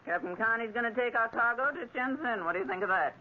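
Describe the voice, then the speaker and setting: A woman's voice: fairly high pitch, fast talker, close to an everyday voice. An actress playing a scheming middle-aged female bar owner, bossy and coaxing, in 1940s radio drama style. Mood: hearty coaxing. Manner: bossy, coaxing hard bargainer. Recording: muffled 1940s radio recording.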